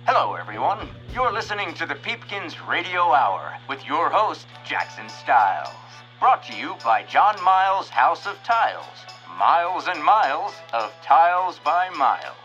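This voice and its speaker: A showy radio host in a family audio drama. His hammy announcer voice is bright and punchy, very lively in pitch, with faint music behind. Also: a little slow, few pauses, lo-fi sound, full character voice. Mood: jovial. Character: showy, jovial male radio show host. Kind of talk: old-fashioned radio announcer acting.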